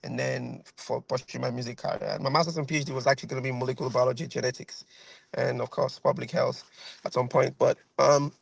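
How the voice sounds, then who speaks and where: A man's voice: medium pitch, little pitch movement, quick pace, vocal fry, a little hiss or hum, low-quality recording. A man in his thirties with a Nigerian accent, a guest violinist chatting over a video call, rambling with lots of fillers and repeated phrases. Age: 30s.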